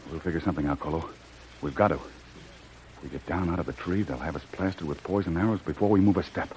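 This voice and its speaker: A man's voice: low voice, fast talker, darkish tone, natural voice. A man acting a brave, loyal jungle adventurer with a firm, decisive manner, in old radio serial style. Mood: defiant, resolute. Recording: noisy vintage radio recording.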